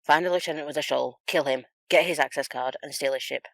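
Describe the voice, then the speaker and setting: A man playing a level-headed, professional intelligence agent in a sci-fi audio drama, speaking in a brisk, businesslike manner. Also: on the high side, creaky, fairly bright tone, no room sound.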